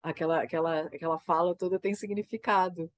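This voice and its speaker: A woman with a Brazilian Portuguese accent, a theater creator getting over a cold, talking about her work in a reflective, halting way and often tagging her sentences with "né?". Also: lowish voice, steady tone, no echo. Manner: thoughtful, halting, frequent "né?" tags. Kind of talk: reflective conversation.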